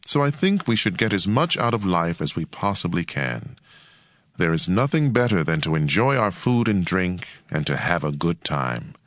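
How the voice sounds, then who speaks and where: A man reading scripture as a dramatized audio Bible narration, in a measured and expressive way. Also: low-pitched, no echo, studio quality.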